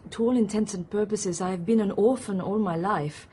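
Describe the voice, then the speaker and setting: An actress playing a reserved young married woman in a radio drama, speaking formally and evenly with a husky voice. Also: medium pitch, warm tone, a little hiss or hum, lightly acted. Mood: cool, composed. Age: young adult. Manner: formal, measured.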